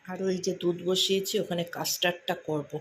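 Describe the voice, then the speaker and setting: A woman's voice: fairly low pitch, steady tone, fairly quick, a bit bright, a little hiss or hum. A woman chatting informally to family and viewers in a casual daily vlog.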